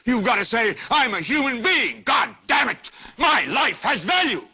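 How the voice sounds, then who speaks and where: An actor playing a furious, prophetic TV news anchor, ranting in oratorical, repeating cadences like a zealot in a film monologue. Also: male voice, high voice, expressive, normal speed, muffled recording, heavy background noise. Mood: urgent fury.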